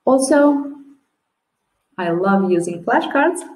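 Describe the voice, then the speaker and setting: A woman in her thirties with a Serbian accent, introducing her language lessons to camera, slow and clear, sounding out words one at a time. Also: on the high side, lively intonation, a little mellow. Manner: slow and clear, with careful word-by-word modelling. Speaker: language teacher. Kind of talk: teacher's introduction video. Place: small room at home, classroom posters behind. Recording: echoey room.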